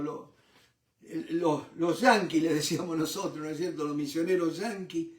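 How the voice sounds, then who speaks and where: A man's voice: high voice, echoey room, far from the mic. An older man from Argentina with an Argentine Spanish accent, teaching a Bible study in sermon style. He often asks rhetorical questions and keeps repeating "¿no es cierto?".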